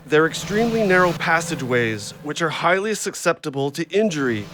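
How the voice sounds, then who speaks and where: An actor in an audio drama playing an agitated, rambling injured logger who talks in insistent, over-precise detail. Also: male voice, a little high, expressive, fairly quick, a bit bright, a little hiss or hum. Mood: fixated, insistent.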